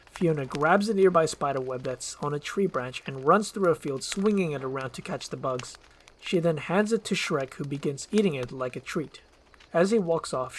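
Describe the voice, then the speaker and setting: Mid-pitched screenplay narrator with fireplace ambience. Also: poor audio. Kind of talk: scripted narration, ASMR roleplay. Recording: faint steady fireplace ambience noise.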